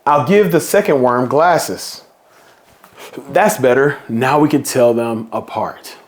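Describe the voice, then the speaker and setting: A man reading a children's picture book aloud in a slow, playful storybook manner. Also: medium pitch.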